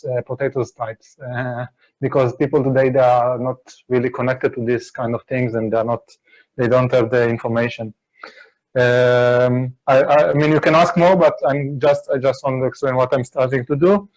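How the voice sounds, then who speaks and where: Halting man chatting while cooking. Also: mid-range voice, steady tone, darkish tone, poor audio. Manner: halting, many restarts and "I mean". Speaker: chef and Berlin food tour guide. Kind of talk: casual cooking chat.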